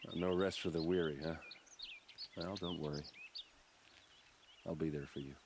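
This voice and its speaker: An actor playing a rough-edged, loyal, self-deprecating male captain in a video game, speaking plainly and wryly. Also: a bass voice, steady tone, moderate pace, background noise. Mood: wry, sincere. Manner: plainspoken, wry. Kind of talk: video game cutscene acting.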